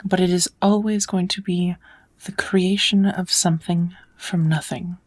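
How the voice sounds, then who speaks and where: A woman playing a kind witch who patiently teaches magic in a soft, often whispered ASMR roleplay voice. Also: lowish voice, steady tone. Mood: gentle, musing. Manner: patient, encouraging, unhurried teaching. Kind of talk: ASMR roleplay, whispered lesson to one listener.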